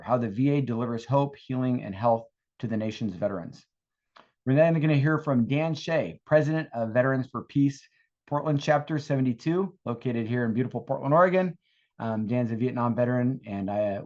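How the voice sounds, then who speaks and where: Guy hosting a video call panel, earnest, lots of ums, kinda muffled lo-fi audio. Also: mid-range voice. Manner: earnest, with frequent fillers. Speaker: webinar moderator. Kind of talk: moderating a panel webinar.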